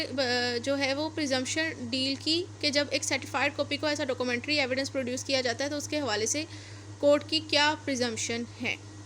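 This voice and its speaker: A woman's voice: high-pitched, bright, forceful tone. A woman with a Pakistani accent giving an explanatory law lecture, reading out passages and going over them step by step. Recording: noticeable background noise.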